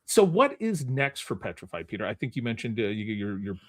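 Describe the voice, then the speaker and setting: A man hosting a podcast in a casual, chatty way, haltingly working his way into questions and repeating words. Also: lowish voice, very animated, no echo. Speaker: podcast host. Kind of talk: casual interview chat.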